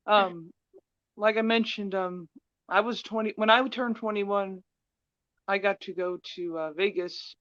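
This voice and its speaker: A woman in her forties telling personal stories casually, in a halting, hesitant way. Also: lowish voice, fairly bright tone, no room sound, highs cut off. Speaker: stream panelist.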